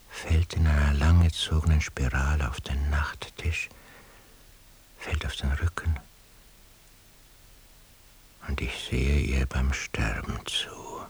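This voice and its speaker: A sleepless, anguished figure murmuring his thoughts in a radio drama. The voice is extremely low and husky, mostly breath. He moves morosely and evenly from one small observation to the next, with little melody. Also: steady tone, takes it slowly, pauses often, exaggerated voice. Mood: morbid, absorbed. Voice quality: breathy, half-whispered, husky. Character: weary, anguished man lying sleepless at night. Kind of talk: radio drama interior monologue.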